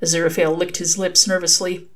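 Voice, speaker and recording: A woman's voice: fairly low pitch, flat delivery, quick pace. Wry woman narrating a story. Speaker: author reading their own fan fiction.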